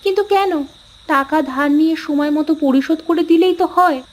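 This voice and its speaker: A woman playing a practical village wife in a cartoon folk tale, with an expressive, homely delivery. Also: very high voice, brisk. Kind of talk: cartoon dialogue voice acting. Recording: music behind the voice.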